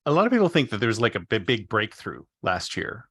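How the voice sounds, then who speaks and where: A man's voice: on the low side, wildly swooping pitch, brisk, fairly bright tone, husky, dry recording, clean studio sound. A man hosting a podcast, asking friendly, chatty questions over a video call. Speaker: podcast host. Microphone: video call microphone.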